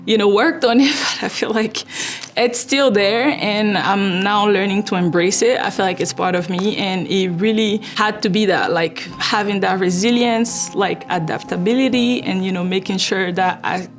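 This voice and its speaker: Interviewee: young woman, French-speaking West African accent, mid pitch, thoughtful and filler-heavy, soft music bed. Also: normal speed. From Ivory Coast. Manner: reflective, many "like" and "you know" fillers. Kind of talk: documentary interview. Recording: faint music behind.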